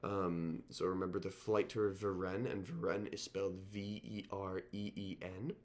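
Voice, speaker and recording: A teacher with a sore throat and a slightly nasal voice, giving a recorded lecture in an informal way, with frequent ums and restarts. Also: male voice, sits low, little pitch movement, normal speed. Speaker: history teacher.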